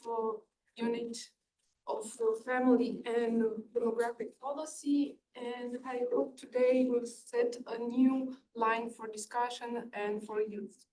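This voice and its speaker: Halting high-voiced woman from Romania. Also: fairly even intonation, darkish tone, distant mic, low-quality recording. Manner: halting, searching for words. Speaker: ministry unit director. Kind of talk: panel self-introduction.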